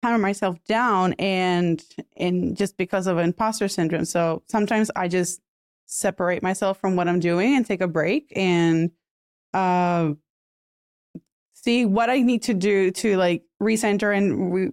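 A relaxed woman guest from Puerto Rico, a native Spanish speaker, with a mid-range voice. She answers thoughtfully, often pausing and laughing softly. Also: mid-range voice, no echo, clean studio sound. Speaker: podcast guest. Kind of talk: casual interview answers.

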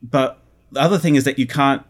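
An Australian man chatting casually as an interview guest, conversational and animated. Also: medium pitch, fairly quick. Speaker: interview guest, audio drama producer.

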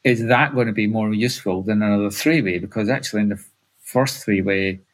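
Man with a lowish voice, relaxed host asking questions, thinks aloud as he talks. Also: a little fast. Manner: chatty, thinking aloud, with restarts. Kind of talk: conversational interview questions.

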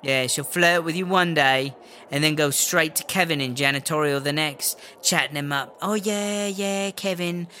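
A man's voice: on the high side, moderate pace, bright, forceful tone, a little hiss or hum. A man playing a jealous, exasperated inmate in a comedic audio drama, gossipy and sardonic. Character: jealous, exasperated prison inmate pining for comforts.

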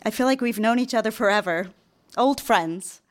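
Casting: actress as eager young career girl secretary, polite, earnest, slightly high voice, radio play. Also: moderate pace, close to an everyday voice. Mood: warm, hopeful. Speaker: radio drama actor. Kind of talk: live radio drama acting with some narration.

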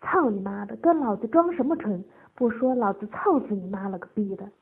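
A woman's voice: high voice, moderate pace, narrow, tinny audio, pitched above the performer's own voice, close to an everyday voice. A woman voicing a coarse, domineering male official who barks bullying, foul-mouthed orders in an audiobook. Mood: angry, aggressive.

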